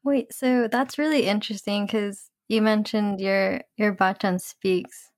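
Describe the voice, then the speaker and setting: A young woman recounts her experiences loosely as a podcast guest, leaning on filler words. Her pitch is average. The sound is dry and close. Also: steady tone, a little slow, no echo. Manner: casual storytelling with "like" fillers. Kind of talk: casual conversation.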